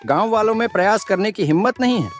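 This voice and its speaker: A man's voice: high-pitched, expressive, fast, soft background music. Man acting anxious village headman explaining troubles. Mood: fearful. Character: anxious village headman reporting a bandit's cruelty. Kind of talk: animated moral story dialogue.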